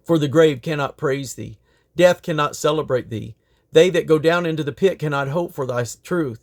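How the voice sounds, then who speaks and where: A man's voice: fairly high pitch, normal speed. A man reading aloud from the King James Bible in a solemn, declamatory way, with emphatic rises and falls. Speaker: Bible reader.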